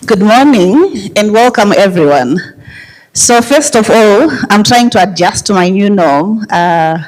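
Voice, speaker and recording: A woman's voice: mid-range voice, animated, a little slow, darkish tone, poor audio. A woman with a Zimbabwean accent giving informal, personal opening remarks to a live audience as an organization's chief executive. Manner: personal, addresses the audience directly.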